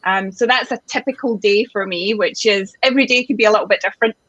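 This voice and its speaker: A woman's voice: medium pitch, quick pace, a bit bright, dry recording, muffled recording. Scottish woman on a video call. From Scotland. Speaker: tour guide. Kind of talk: live video call chat.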